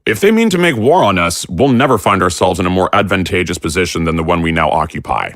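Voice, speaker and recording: A man voices a hawkish army general in an audio drama. He speaks firmly and formally to his superior. His voice is very low and sounds dry and close. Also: animated, fast talker, fairly bright tone, no room sound. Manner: firm, formal, clipped.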